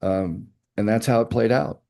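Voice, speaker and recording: Older guy, gravelly and kinda dark-toned, telling stories in an oral history interview. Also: lowish voice, moderate pace. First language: English. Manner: reflective storytelling, emphatic asides. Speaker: interviewee, nuclear fuel executive.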